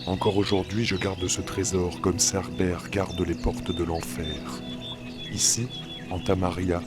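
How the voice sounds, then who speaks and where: Man acting a deep, calm druid. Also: measured pace. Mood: earnest. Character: calm, loyal old druid friend guarding a treasure. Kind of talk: scripted fantasy audio drama dialogue. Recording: loud music behind the voice.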